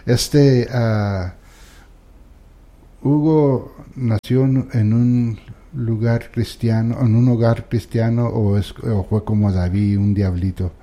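Dark-voiced man hosting an interview. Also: medium pitch, fairly even intonation, slow. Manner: hesitant, many fillers, mixing in English words. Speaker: interview host. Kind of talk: casual interview chat.

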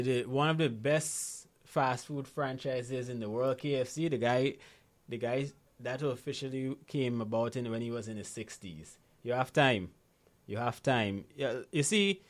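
A man from Guyana, a guest coaching on money habits, talking conversationally and often checking in with "right?". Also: medium pitch. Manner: animated storytelling, frequent "right?" tags. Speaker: guest, financial literacy coach. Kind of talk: conversational interview.

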